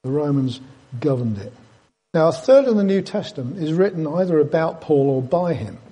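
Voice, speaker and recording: A man with an English accent gives an informal sermon like a chatty teacher. His voice sits a little high for a man, with a mellow, husky texture. He slips in dry asides and tag questions as he explains. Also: animated, moderate pace. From Britain. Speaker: preacher giving a Bible talk.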